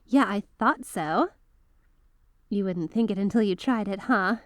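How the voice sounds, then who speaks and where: An obsessive veteran magical girl played by a woman confides in her student in an ASMR audio drama. Her voice is mid-pitched and very dry, as if close by. She is coaxing and lovestruck, a devoted teacher with a possessive streak. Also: a little slow, dry recording, close to an everyday voice. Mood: coaxing, evasive.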